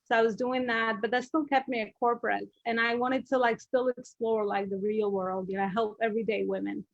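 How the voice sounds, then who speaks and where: A woman's voice: a little high, fairly even intonation, fairly quick, creaky, no echo, highs cut off. A middle-aged woman with an Albanian accent, a business coach telling her story as a podcast guest, chatty with many fillers and restarts.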